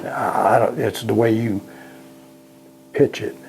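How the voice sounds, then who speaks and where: A man's voice: fairly low pitch, fairly even intonation, slow, gravelly, a little mellow, soft background music, rough recording. An older man, a veteran, recalling his service in a casual, rambling way, repeating words and using plain folksy speech. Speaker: Vietnam veteran interviewee. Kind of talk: casual spoken recollection.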